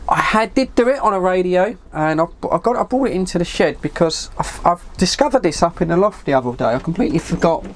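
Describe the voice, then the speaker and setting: A middle-aged English man talking in a fairly high voice for a male speaker. He rambles along casually, as if chatting to his viewers, with a self-deprecating, lightly sweary way of putting things. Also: expressive, quick pace, few pauses. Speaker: hobbyist vlogger and CB radio enthusiast. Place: shed.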